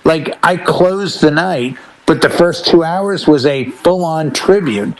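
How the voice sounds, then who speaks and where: Higher-voiced middle-aged American man chatting. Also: slow, rough recording. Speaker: DJ and podcast panelist. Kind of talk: casual panel chat.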